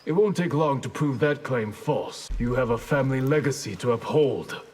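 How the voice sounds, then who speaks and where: A man's voice: mid-range voice, darkish tone, natural voice. Composed, grave ninja mentor played by a man. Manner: measured, admonishing, formal. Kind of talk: video game fight banter. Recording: echoing hall reverb.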